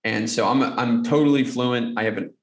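A man's voice: medium pitch, fairly even intonation, normal speed, roomy sound. A man answering as a podcast guest, talking in an earnest, motivational way. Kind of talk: conversational interview answers.

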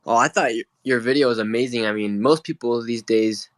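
A man's voice: medium pitch, fairly quick. A young male independent reporter, a guest in a live interview, speaking in a plain, casual, earnest way.

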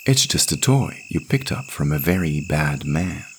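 A man voicing a gentle, motherly woman in a story, coaxing and comforting a child softly. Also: very deep voice, lively intonation, unhurried, slight background noise, exaggerated voice. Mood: coaxing, urgent.

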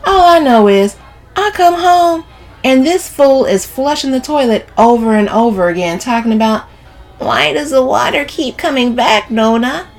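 A woman narrator voicing a brash, sharp-tongued, scornful woman in an audiobook story, with sassy and profane delivery. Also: sits high, animated, normal speed, faint music behind, voice pushed up. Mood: disgusted, exasperated.

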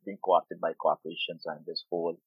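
A man's voice: deep voice, flat delivery, brisk, husky, dry recording. Middle-aged guy from guyana, thoughtful, repeats himself a lot, dry tinny phone sound. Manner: thoughtful, repeats words while searching. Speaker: urban farmer and food activist. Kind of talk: interview guest.

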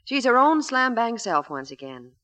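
Animated American woman, vintage radio pitch. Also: normal speed. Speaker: Hollywood reporter and soap pitchwoman. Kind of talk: scripted 1940s radio advertising banter.

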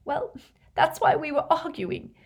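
A woman's voice: high voice, very animated, unhurried, pitched above the performer's own voice. A woman voicing a distraught teenage schoolgirl who is crying as she talks, in a storybook reading. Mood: tearful, shaken.

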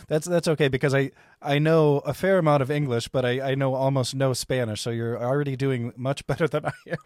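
A man's voice: medium pitch, steady tone, brisk, darkish tone, no echo, studio quality. A man hosting a podcast, chatting casually and joking, often laughing. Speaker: podcast host. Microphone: Skype call.

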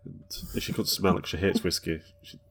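A man co-hosting a podcast, telling rambling, relaxed stories in casual chat. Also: deep voice, fairly quick. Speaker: podcast co-host.

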